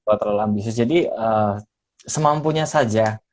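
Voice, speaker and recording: A man's voice: fairly low pitch, moderate pace, a little mellow. Hesitant man with mixed Indonesian accent. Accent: neutral Indonesian with slight mixed regional traces. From Indonesia, Semarang. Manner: hesitant, many fillers and restarts. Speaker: podcast guest, former radio announcer. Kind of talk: casual interview chat.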